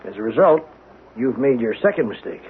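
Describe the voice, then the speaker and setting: A male actor playing a probing, cautioning project insider in a 1970s radio drama, speaking in a deliberate, needling way. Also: mid-range voice, lively intonation, close to an everyday voice. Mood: knowing, cautioning. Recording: tinny, noisy old radio recording.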